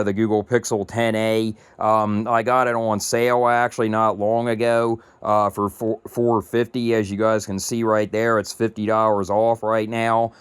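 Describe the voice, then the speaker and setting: A man in his early thirties talks to his viewers in a low, flat, meandering way, full of ums and restarts. His voice is clear but a touch raspy from allergies, and the recording is close and dry. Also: no room sound. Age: 30s. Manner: rambling, many ums and restarts. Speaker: tech YouTuber. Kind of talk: casual vlog talk to camera.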